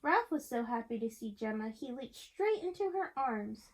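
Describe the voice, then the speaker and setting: Animated high-voiced woman reading aloud. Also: normal speed. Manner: animated, asks the listeners questions. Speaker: reader of a picture book to children. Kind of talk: read-aloud storytelling with chat to young listeners.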